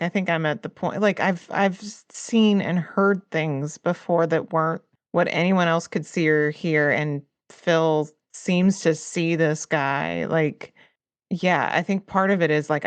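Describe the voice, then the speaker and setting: A woman's voice: low voice, clean tone, no echo. A woman chatting casually as a player in a tabletop role-playing game, thinking aloud about what her character does. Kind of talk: casual improvised game chat.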